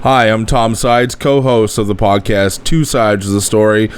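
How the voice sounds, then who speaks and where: A man's voice: lowish voice, steady tone, normal speed, smooth. A man from Canada introducing himself to listeners as a contestant. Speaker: game contestant, podcaster.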